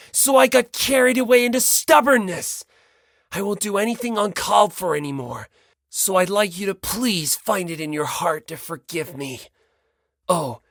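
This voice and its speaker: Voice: medium pitch, no room sound, clean studio sound, voice pushed up. A reader voicing a self-deprecating, earnest teenage boy in an audiobook, politely and effusively apologetic. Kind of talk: dramatic audiobook character reading.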